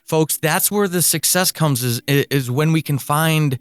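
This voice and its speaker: A man's voice: a little high, measured pace, no echo, studio quality. A man hosting a podcast, speaking in an encouraging way straight to his listeners. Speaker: podcast host. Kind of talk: conversational interview with promotional narration.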